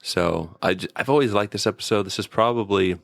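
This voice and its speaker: Deep, creaky-voiced man chatting casually. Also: fairly quick, fairly bright tone, no room sound, clean studio sound. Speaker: podcast co-host. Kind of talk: casual conversation and episode review.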